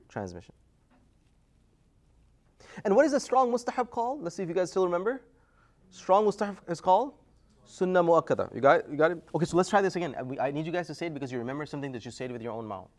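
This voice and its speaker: A man teaching an Islamic studies class, lecturing casually and quizzing students, often pushing his voice up to prompt answers. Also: high voice. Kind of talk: classroom lecture with call-and-response.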